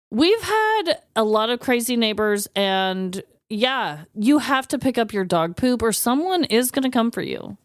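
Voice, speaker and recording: A woman co-hosting a podcast, animated and swearing casually, laughing often. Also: fairly high pitch, a little slow, no echo, studio quality. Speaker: podcast co-host.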